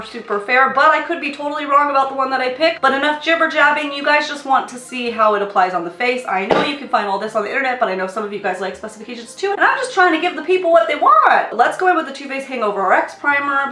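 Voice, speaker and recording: A woman's voice: fairly high pitch, sharp tone. A young woman reviewing makeup in a casual, upbeat vlog, sounding nasal and a little sick. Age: young adult. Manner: chatty, upbeat, sing-song catchphrases. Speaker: beauty vlogger. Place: small indoor filming space. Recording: echoey room.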